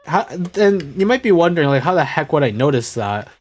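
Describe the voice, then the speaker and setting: Young man, game streamer, casual rambling chat, trails off softly, desk mic, faint music underneath. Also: on the high side, big pitch swings, brisk. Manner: rambling, self-correcting, trails off quietly.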